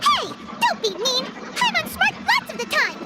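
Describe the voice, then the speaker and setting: A woman voicing a tiny, childlike and excitable fairy companion with a squeaky voice in a video game. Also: moderate pace, heavy background noise, heavily acted. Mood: indignant. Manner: speaks of self in third person, exclaiming. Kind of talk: English video game voice acting. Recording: game music behind the voice.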